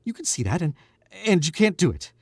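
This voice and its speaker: A man voices a nervous young murderer in a radio play, stammering as he begs and rationalizes. His husky voice swings widely in pitch, recorded dry and close. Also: very animated, a little fast, no room sound. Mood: pleading. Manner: stammering, pleading, self-justifying. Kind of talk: 1940s radio acting.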